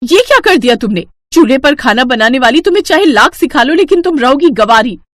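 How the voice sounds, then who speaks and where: A woman voicing a haughty, scornful young rich daughter-in-law in an animated storybook tale, scolding and condescending. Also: high-pitched, brisk, slight background noise, voice pushed up. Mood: scornful, angry.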